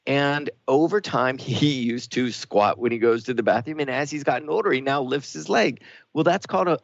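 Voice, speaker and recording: A man's voice: medium pitch, no room sound. A man co-hosting a radio show, talking in an earnest, explanatory and reflective way. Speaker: radio co-host. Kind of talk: reflective talk-show discussion. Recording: dull, dry radio audio.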